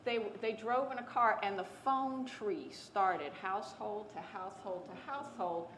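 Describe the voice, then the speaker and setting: A woman panelist telling a family story in an animated way, repeating words for emphasis. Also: mid-range voice, normal speed, reverberant, slight background noise.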